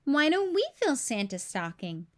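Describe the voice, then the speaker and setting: Storybook friendly dinosaur played by a woman: upbeat, encouraging, high-pitched and very animated. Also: measured pace. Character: cheerful, friendly male purple dinosaur from children's story.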